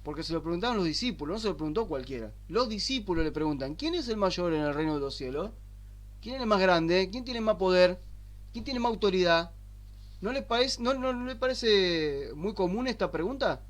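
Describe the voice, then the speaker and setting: An Argentine man preaching a sermon, asking rhetorical questions and slowing down to stress the scripture he quotes. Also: high voice, expressive. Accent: Argentine Spanish. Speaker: pastor. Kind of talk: sermon teaching.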